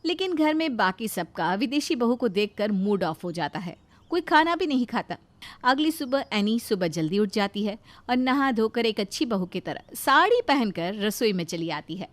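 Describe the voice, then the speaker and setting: A woman's voice: on the high side, big pitch swings, normal speed, dry recording. A woman reading scripted narration for a family moral story.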